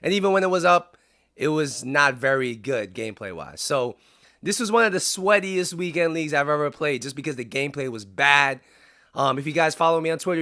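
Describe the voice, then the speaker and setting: A man talking through video-game commentary in a casual, chatty way, sounding raspy because he is under the weather. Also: on the high side, bright, forceful tone. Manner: casual and chatty, with frequent "um" fillers. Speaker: YouTuber. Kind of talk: gaming commentary.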